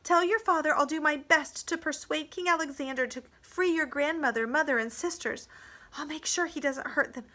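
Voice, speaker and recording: A woman acts out a brave young slave girl from an adventure storybook. The voice is high and bright, with an urgent manner. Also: normal speed. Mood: hushed pleading. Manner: urgent, swinging from high shouts to hushed pleas.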